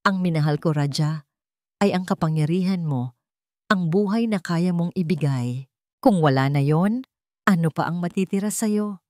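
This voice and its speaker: A woman's voice: fairly low pitch, moderate pace, long pauses, darkish tone, dry recording, clean studio sound, lightly acted. A woman voicing a calculating young mistress who speaks with cool, sweet-sounding mockery. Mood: cold. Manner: coolly mocking, sweet on the surface.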